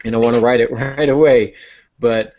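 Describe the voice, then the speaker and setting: A man's voice: medium pitch, fairly even intonation, moderate pace, a little mellow, a little hiss or hum. A man chatting casually on a podcast as a novelist, telling anecdotes about writing in a conversational way. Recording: dull, band-limited audio.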